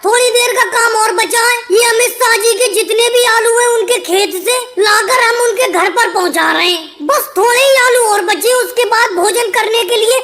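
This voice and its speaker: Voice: very high-pitched, moderate pace, hardly any pauses, pushed and bright. A performer voicing a squabbling male cartoon caricature in a whiny, emphatic comic dialogue style. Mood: argumentative. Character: pitched-up cartoon caricature of a squabbling male politician schoolboy or labourer.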